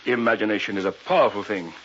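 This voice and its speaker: An actor playing a secretive, authoritative doctor in an old-time radio drama, on a muffled, hissy recording. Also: male voice, on the low side, quick pace, a bit bright, lightly acted. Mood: lecturing. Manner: formal, authoritative, theatrical. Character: secretive doctor, commanding host of an island weekend.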